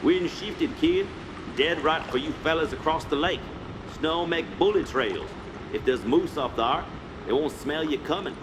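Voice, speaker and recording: An actor playing a gruff, teasing backwoods hunting guide in a radio play, talking in blunt rustic slang. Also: male voice, a little high, expressive, normal speed, noisy background, close to an everyday voice. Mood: jovial.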